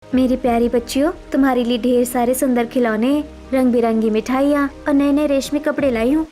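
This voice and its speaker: A caring, well-to-do sister-in-law, played by a woman, speaks in a high voice. She is affectionate, earnest and coaxing in a cartoon family story. Music plays behind her. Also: steady tone, brisk, mellow, close to an everyday voice. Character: caring, persuasive, wealthy younger sister-in-law. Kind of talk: Hindi cartoon family melodrama.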